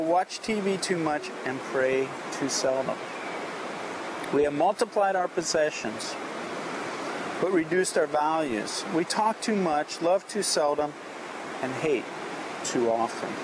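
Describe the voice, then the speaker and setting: A man vlogging outdoors in a reflective, earnest style, with measured delivery. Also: fairly high pitch. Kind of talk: reflective talk and reading aloud of an inspirational message. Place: outdoors at a beach. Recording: noisy outdoor recording with traffic-like ambience. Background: clear outdoor ambient noise.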